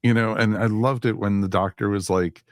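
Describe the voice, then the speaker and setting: A man co-hosting a podcast, giving his casual thoughts in halting, looping phrases. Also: lowish voice, steady tone, no room sound, clean studio sound. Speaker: podcast co-host. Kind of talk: casual, conversational review.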